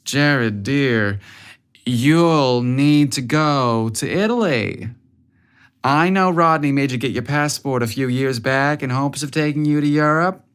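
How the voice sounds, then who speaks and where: A man plays a doting older mother in a narrated story. She is pushy and affectionate, coaxing with theatrical exasperation in a voice pitched up a little. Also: fairly bright tone, clear, resonant tone, natural voice. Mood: exasperated coaxing.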